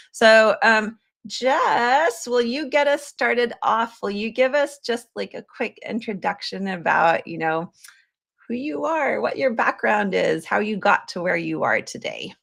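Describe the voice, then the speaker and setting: A woman's voice: medium pitch, gravelly, a bit bright. A woman hosting a livestream interview in an enthusiastic, chatty manner. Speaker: podcast host.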